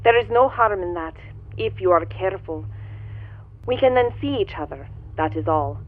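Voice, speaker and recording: A woman playing an imperious, mysterious woman from another universe in a pulp radio drama, her sweet, deliberate voice heard through a radio filter. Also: lowish voice, lively intonation. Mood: urgent, commanding. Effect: radio transmission filter. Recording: thin radio-filtered voice, narrow band. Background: music.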